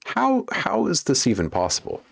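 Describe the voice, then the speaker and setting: A man's voice: on the high side, wildly swooping pitch, moderate pace, vocal fry, dry recording, clean studio sound. A man hosting a casual livestream while making beats, chatting with viewers and thinking aloud as he works. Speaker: livestream host and music producer.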